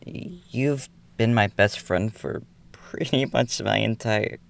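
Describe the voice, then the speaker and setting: A man's voice: fairly low pitch, slow pace, gravelly. A man playing an anxious, devoted young best friend in an audio drama, hesitant and emotional. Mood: nervous, tender. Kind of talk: audio drama character acting.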